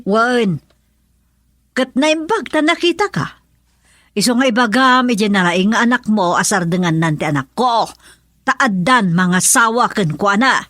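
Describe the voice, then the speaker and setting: A woman's voice: medium pitch, expressive, unhurried, fairly bright tone, dry recording, clean studio sound. A woman playing a pushy, scheming mother in a radio drama, speaking insistently with an Ilocano accent. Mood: scheming, chatty. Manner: nagging, insistent. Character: pushy, scheming mother urging her daughter to marry money.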